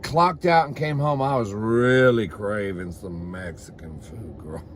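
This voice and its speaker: A man's voice: fairly low pitch, measured pace. A man hosting a comedy mukbang, chatting casually to the camera in a campy, sassy, bawdy manner as he eats. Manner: campy and sassy, calls listeners "girl" and "honey"; bawdy, swears freely. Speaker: host of a comedy mukbang vlog. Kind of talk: casual comic chat to camera while eating.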